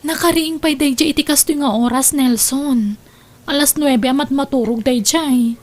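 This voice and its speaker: A high, girlish voice suits this young woman played by an actress. She is an affectionate, dutiful daughter in a family audio drama, speaking with open feeling. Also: normal speed, a little mellow, natural voice. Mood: mildly concerned. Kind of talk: audio radio-style drama acting.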